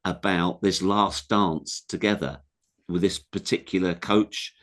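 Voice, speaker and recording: Deep-voiced, earnest male football pundit. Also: steady tone, measured pace. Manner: earnest, reflective, emphatic. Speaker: guest football broadcaster. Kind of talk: casual interview chat.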